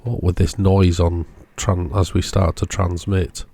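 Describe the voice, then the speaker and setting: A man's voice: low voice, little pitch movement, measured pace, creaky, dark tone, husky, soft background music. A man giving hands-on repair commentary for a video, thinking aloud in an unscripted way as he works. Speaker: electronics repair video creator.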